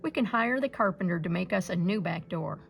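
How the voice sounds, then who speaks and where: A woman's voice: lowish voice, animated, fairly quick, gravelly. A woman voicing a kindly, practical old wife in a storybook folktale, earnest and emphatic.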